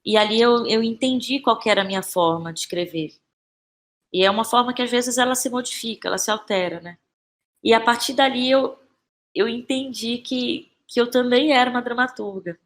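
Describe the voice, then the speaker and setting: Reflective Brazilian woman with a halting delivery. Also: fairly high pitch. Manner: reflective, halting, repeats phrases while thinking. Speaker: interview guest, playwright and actress. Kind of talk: conversational podcast interview.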